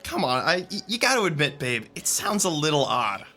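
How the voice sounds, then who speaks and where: A man's voice: on the high side, sing-song, brisk, bright, forceful tone, faint music behind, exaggerated voice. An actor playing an easygoing, gossipy theatre guy who jokes casually in radio drama dialogue. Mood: wry. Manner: casual, joking.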